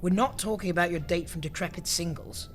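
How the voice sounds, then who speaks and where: A man's voice: high-pitched, fairly quick, slight background noise, close to an everyday voice. Man acting a dry, pedantic junior clerk. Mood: dry irritation. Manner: dry, matter-of-fact, sardonic. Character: dry, pedantic, long-suffering male junior bureau clerk. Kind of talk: comedy audio drama acting.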